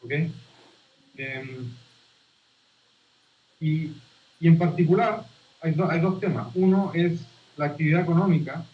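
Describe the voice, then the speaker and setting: A man from Chile with a Chilean accent, giving a guest lecture on statistics over a video call in a halting, informal way and often restarting his phrases. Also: a little high. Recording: roomy, dull video-call audio.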